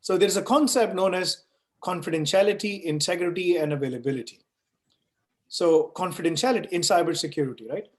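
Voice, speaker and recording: A man's voice: high-pitched, a little fast. A man running an online course session, explaining ideas with everyday analogies and checking in with "right?" and "you know". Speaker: online course instructor answering questions. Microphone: video call. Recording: echoey room.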